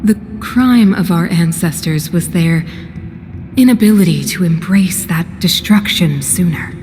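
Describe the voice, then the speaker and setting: A woman playing a solemn, fanatical ruler and mother in a video game, speaking in a grave, measured, oratorical way. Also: on the low side, darkish tone, a little hiss or hum, close to an everyday voice. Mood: fervent, grave.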